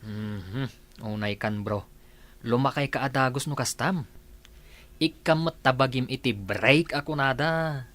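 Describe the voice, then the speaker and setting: A man's voice: medium pitch, a little slow, a bit bright, close to an everyday voice. A man acting an easygoing, teasing workmate in an Ilocano radio comedy drama. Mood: coaxing.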